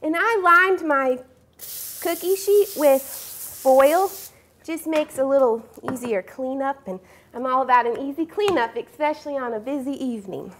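A woman's voice: sits high, measured pace, slight background noise. A young woman hosting a cooking show, explaining each step in a friendly way in a studio kitchen. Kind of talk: cooking demonstration. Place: home-style studio kitchen.

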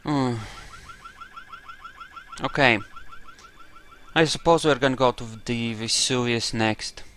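A man's voice: medium pitch, slow, a bit bright, slight background noise. A man giving casual gameplay commentary, wry and musing to himself as he plays. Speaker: gamer doing a playthrough.

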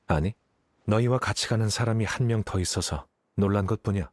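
An actor playing a cool, aloof young scholar in a video game, deadpan and dismissive, with a slightly husky voice. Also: male voice, fairly low pitch, fairly quick, natural voice. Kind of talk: game character dialogue.

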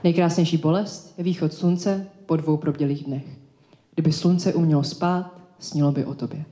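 A woman performing spoken-word poetry live as a slam poet, delivering short lyrical phrases in a measured, declaimed way. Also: low voice, fairly even intonation, mellow. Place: indoor live event space. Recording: echoey live room.

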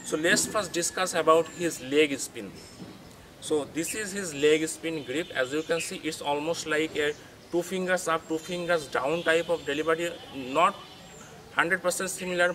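A man's voice: fairly high pitch, fairly bright tone, a little hiss or hum. A young man hosting a cricket coaching video, explaining bowling grips outdoors to a camera in careful, non-native English with frequent restarts. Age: young adult. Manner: explains steadily, demonstrates grips with his hands as he talks. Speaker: cricket coaching channel host. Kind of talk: tutorial talking to camera. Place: outdoors by a concrete wall.

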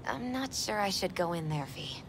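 A woman playing a gentle, spiritual young woman who is quietly grieving, speaking softly and reflectively in a video game. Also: lowish voice, lively intonation, normal speed, background noise, close to an everyday voice. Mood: wistful, hesitant. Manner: soft, wistful, reflective. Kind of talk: naturalistic video game acting. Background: music in the background.

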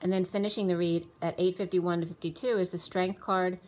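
A woman giving a casual tarot reading, rambling with restarts and going a little hoarse as she loses her voice. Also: lowish voice, little pitch movement, a little fast, darkish tone. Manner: rambling, many restarts and fillers, reads off card names and times. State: losing her voice. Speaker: tarot reader. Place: indoors, room with a table of spread cards.

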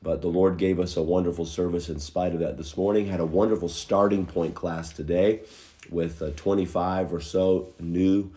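Deep-voiced pastor, slightly hoarse. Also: male voice, steady tone, normal speed, warm tone. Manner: folksy, earnest, many "uh" fillers. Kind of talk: church announcements to an online audience. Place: home living room.

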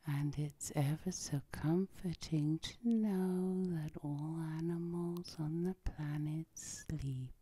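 A woman guiding a sleep hypnosis in a soft, whispery ASMR style, with a soothing, lulling manner. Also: low-pitched, takes it slowly, hardly any pauses, slight background noise. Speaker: hypnotherapist, channel host.

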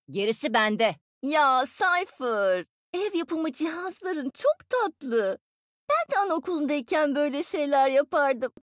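High-pitched, cocky young tech genius. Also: female voice, dry recording, studio quality. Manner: smug, teasing, expressive. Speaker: video game character. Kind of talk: video game voice lines.

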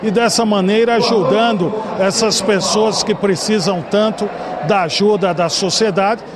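A man in his forties with a São Paulo Brazilian accent answers a TV interviewer in a formal, measured, speech-like way. His voice sits fairly high, caught on a handheld mic in a busy ballroom with steady noise behind him. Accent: Brazilian Portuguese, São Paulo. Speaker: bar association culture director, guest. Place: large indoor ballroom party. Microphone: handheld mic held by interviewer. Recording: noisy ballroom.

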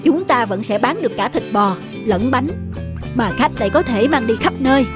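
A woman's voice: fairly high pitch, lively intonation, normal speed, music in the background, close to an everyday voice. A woman voicing a heavyset male restaurant owner in a cartoon story. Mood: curious, pleased.

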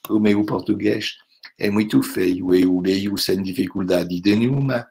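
An older man with a French accent talks through a laptop webcam from a room at home. His voice sits fairly low, and he speaks slowly and haltingly. He leans on fillers and tag questions as he wraps up a lecture. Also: fairly even intonation, vocal fry, warm tone. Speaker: visiting professor. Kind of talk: closing remarks of an online lecture. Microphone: laptop webcam on a video call.

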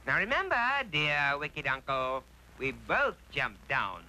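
A man's voice: medium pitch, huge pitch swings, slow pace. A man voicing a naive, hapless, boyish fellow in a 1960s cartoon, with a prim, mild, apologetic manner. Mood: earnest. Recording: noisy old cartoon soundtrack.

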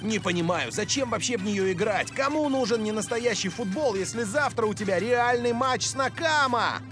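A man's voice: high-pitched, animated, moderate pace, music in the background. An actor voicing a teasing, blunt young male soccer teammate in a cartoon dub.

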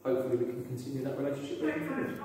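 A man's voice: medium pitch, sing-song, rapid-fire, noisy background. A man, a police sergeant, earnestly introducing himself and his work at a community meeting, filled with hesitant ums. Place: large echoey meeting room. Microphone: phone camera from across the room. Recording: echoey room recorded from far off.

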